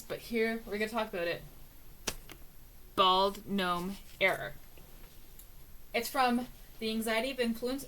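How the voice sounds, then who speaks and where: A woman reading aloud from book notes in a casual, musing way, with many ums and uhs, often trailing off softly. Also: mid-range voice, slight background noise, rough recording. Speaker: book reader and annotator.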